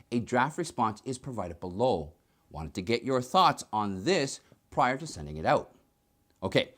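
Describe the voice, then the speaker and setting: A man reads scripted news commentary as a host. His pitch is average for a man but swings very widely from phrase to phrase. He explains things in an emphatic presenter's manner.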